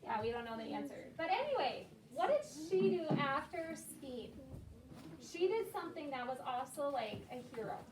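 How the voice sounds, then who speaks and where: A woman with a fairly high voice leads a read-aloud discussion as a schoolteacher. She explains things simply and encouragingly for children. The recording is distant and echoey, with clear noise and clicks. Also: sing-song, moderate pace. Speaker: elementary school teacher.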